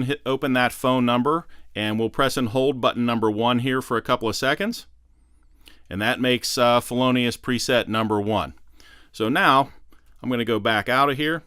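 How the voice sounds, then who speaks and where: A man's voice: medium pitch, a bit bright, clear, resonant tone, no echo, clean studio sound. A man narrating a how-to product demonstration, explaining methodically step by step.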